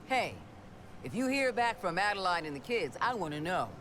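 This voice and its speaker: An actor playing an exasperated teammate in a video game, with a dry, needling manner. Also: male voice, high-pitched, sing-song, normal speed, fairly bright tone, slight background noise. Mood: wry, concerned. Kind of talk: video game character dialogue.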